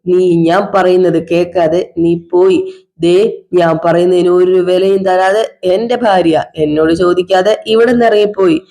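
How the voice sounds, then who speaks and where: Drunk, sulking husband, played by a woman: slow sarcastic drawl, mellow dark voice. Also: fairly low pitch, fairly even intonation, close to an everyday voice. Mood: accusing. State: drunk (as acted). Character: drunk, bitter middle-aged husband, self-pitying. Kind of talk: one-person domestic drama skit.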